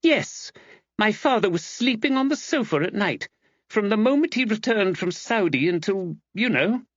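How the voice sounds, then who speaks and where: A man's voice: high-pitched, animated, no echo, lo-fi sound, voice pushed up. A man voicing an upset young woman in an audiobook, earnest, insistent and suspicious. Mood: eager, indignant. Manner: emphatic, earnest, rushed. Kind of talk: audiobook character dialogue.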